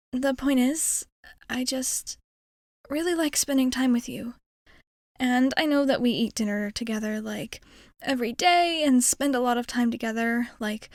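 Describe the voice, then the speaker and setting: A woman's voice: high voice, a little mellow, no room sound, clean studio sound. A woman playing a shy, kind young alien girl in an intimate ASMR roleplay, speaking in a hesitant, earnest, rambling way. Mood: shy, touched.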